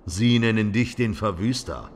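A man's voice: lowish voice, a little slow, creaky, a little hiss or hum. A man voicing a mysterious, grim and passionate stranger in an audiobook, with a powerful, resonant voice. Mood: grim, bitter. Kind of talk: audiobook character acting.

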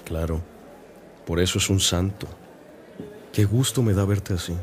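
Guy doing patient nice priest in a radio play, deep n slow, gentle. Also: a little hiss or hum. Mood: kindly. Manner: gentle, unhurried. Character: kindly, patient priest, gently encouraging mentor. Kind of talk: Spanish-language radio drama acting.

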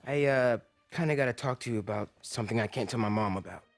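A young male actor playing a nervous, polite teenage boy in a video game, halting and hesitant. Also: mid-range voice, no room sound, soft background music, close to an everyday voice. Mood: anxious, confiding. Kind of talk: naturalistic game cutscene acting.

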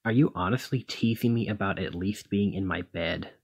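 A man playing a protective, conflicted demigod hero in a one-sided ASMR roleplay, speaking emotively and haltingly to the listener. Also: deep voice, vocal fry, slightly rough, heavily acted. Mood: teasing, pained. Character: brawny male demigod hero, protective, conflicted, exasperated lover.

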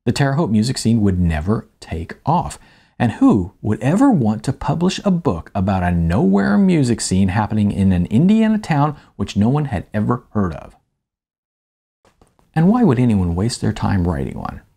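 Gravelly middle-aged American man reading aloud. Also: on the low side, wildly swooping pitch, moderate pace, mellow. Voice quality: gravelly, slightly husky. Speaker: author reading his audiobook. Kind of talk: first-person audiobook narration with casual asides. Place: small indoor room, seated at a table.

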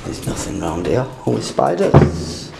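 A man talks slowly through a derelict house, his voice gravelly and his pitch swinging up and down as he jokes and swears. He is heard through a handheld camera mic, with plenty of noise around him. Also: big pitch swings, echoey room. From England. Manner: jokey, rambling, swears casually. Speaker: urban explorer vlogger. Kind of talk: casual handheld exploring commentary. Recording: noisy camera audio.

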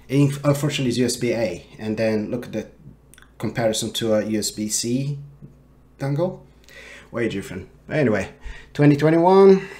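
A man in his thirties giving a casual tech product review with hesitant, halting phrasing. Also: medium pitch, darkish tone. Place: small home office, indoors.

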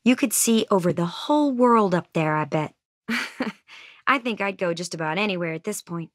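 A woman's voice: mid-range voice, lively intonation, moderate pace, no room sound, studio quality, natural voice. A woman playing an earnest, anxious teenage girl survivor in a video game, friendly and folksy but hesitant and weighed down by guilt. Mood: friendly, upbeat. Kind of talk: naturalistic video game voice acting.

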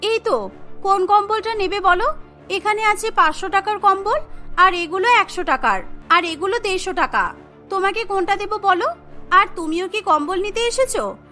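A woman's voice: very high voice, expressive, normal speed, pushed and bright, faint music behind, full character voice. A woman voicing a practical, earnest young daughter-in-law in a cartoon story. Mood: businesslike.

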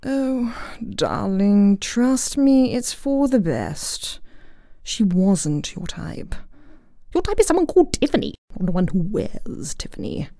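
A woman's voice: mid-range voice, very animated, slow, creaky, warm tone, dry recording, clean studio sound, exaggerated voice. A woman playing a theatrical, condescending, darkly amused female villain in a voice acting reel.

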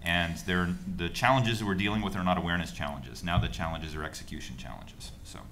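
A middle-aged American man with a very low, deep voice speaks fluently and upbeat. He talks at a table microphone in a conference room, with a faint hum of ambience behind him. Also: fast, a bit bright. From United States. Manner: fluent and upbeat, laughs at himself. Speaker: panelist. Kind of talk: conference panel talk.

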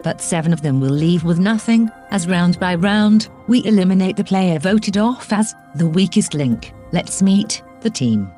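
A woman's voice: on the low side, animated, a little slow, few pauses, warm tone, faint music behind. A woman voicing a stern quiz-show host. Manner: crisp, formal quiz-host delivery.

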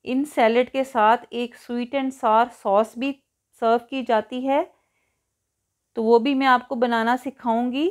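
A woman's voice: on the high side, fairly even intonation, slow pace. A woman hosting a cooking tutorial in voice-over, explaining each step in an instructional way. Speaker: cooking show host.

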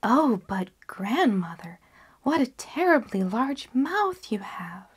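A woman playing a timid, high-voiced little girl. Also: sing-song, slow pace, slightly rough, exaggerated voice. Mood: alarmed. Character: timid, innocent little girl, wide-eyed and earnest. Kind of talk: storybook character reading.

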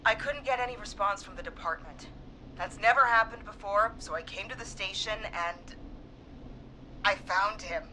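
A woman's voice: medium pitch, normal speed, bright, forceful tone, a little hiss or hum, close to an everyday voice, low-quality recording. A woman playing a frightened but determined police dispatcher in a video game. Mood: shaken.

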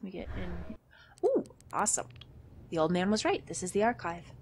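A woman's voice: lowish voice, big pitch swings, normal speed, darkish tone, a little hiss or hum. A woman with a cold and a stuffed-up nose, chatting casually and thinking aloud while she plays a video game. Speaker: let's play host.

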